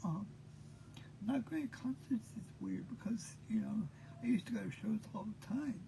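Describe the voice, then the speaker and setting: A man in his fifties hosting an unscripted monologue, talking haltingly with many pauses, tired and short of breath. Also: high-pitched, vocal fry, warm tone, a little hiss or hum, rough recording. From Maine. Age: 50s. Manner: halting, full of pauses and fillers. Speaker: host.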